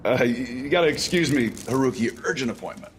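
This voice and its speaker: A man's voice: medium pitch, expressive, brisk, a little hiss or hum, natural voice. A man playing a flustered, earnest professor and amateur sleuth in an audio drama, hesitant and questioning.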